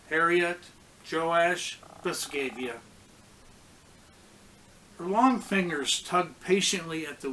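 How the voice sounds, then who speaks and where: A man with a slightly high voice reads a children's storybook aloud. He goes very slowly and deliberately, pausing for expression. There is faint noise behind him. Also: a bit bright, poor audio. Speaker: pastor reading a children's story.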